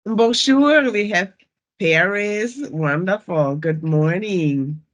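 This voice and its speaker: A woman's voice: fairly low pitch, slow. A woman with an Austrian German accent moderating an online event on a video call, upbeat and welcoming as she greets the audience.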